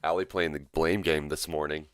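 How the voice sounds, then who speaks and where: Deep-voiced sick streamer man. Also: steady tone, normal speed, clean tone, dry recording. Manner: loose and joking, rambling with fillers. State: sick with a cough, voice deeper than usual. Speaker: live streamer. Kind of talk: casual live-stream chat with viewers. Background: faint game music.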